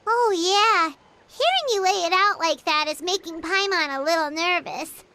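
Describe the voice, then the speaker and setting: A woman playing a tiny, childlike, expressive fairy companion in a video game, with a squeaky voice. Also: normal speed, a little hiss or hum, full character voice. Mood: nervous. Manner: refers to self in third person, squeaky.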